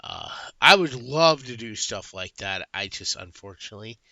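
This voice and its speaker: A man's voice: lowish voice, animated, moderate pace, bright, forceful tone, no echo, dull audio. A young adult man giving a casual, rambling book review, full of fillers and restarts.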